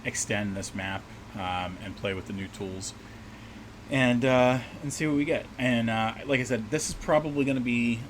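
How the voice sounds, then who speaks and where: A man with a low, slightly scratchy voice rambles casually through a livestream, trailing off and restarting his sentences. A steady air-conditioner hum sits clearly under his voice. He sounds like he is at a small desk at home. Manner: rambling, relaxed, many fillers and restarts. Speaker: livestream host and map maker. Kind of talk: casual livestream chat while working.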